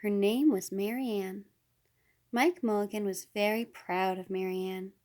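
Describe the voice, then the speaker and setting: A woman reading a children's picture book aloud as a storyteller, unhurried and with deliberate pauses. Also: medium pitch, fairly even intonation, dark tone. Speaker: storybook reader.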